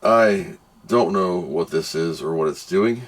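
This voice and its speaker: A man's voice: low voice, unhurried. A man giving a casual, unscripted product review as host, thinking aloud and grumbling as he goes. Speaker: host of a product test video.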